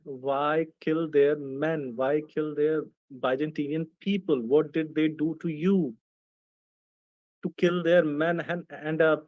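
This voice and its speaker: Give me a slow, confrontational Indian debater on a dry close mic. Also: male voice, fairly high pitch, no room sound, rough recording. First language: not English. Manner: confrontational, slow and deliberate, reads out texts. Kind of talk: online debate.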